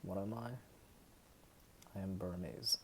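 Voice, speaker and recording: A young man in his twenties from the United States, talking hesitantly and softly to the camera at home late at night. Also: low-pitched, fairly even intonation, a little hiss or hum. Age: 20s. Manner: hesitant, halting and soft-spoken. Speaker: vlogger. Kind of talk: personal talk to camera.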